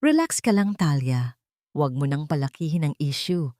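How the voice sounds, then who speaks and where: A woman's voice: deep voice, big pitch swings, moderate pace, warm tone, dry recording, studio quality. A woman voicing a cold, irritated husband who talks down and excuses himself in an audiobook drama. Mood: cold, dismissive. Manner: condescending, as if explaining to a child.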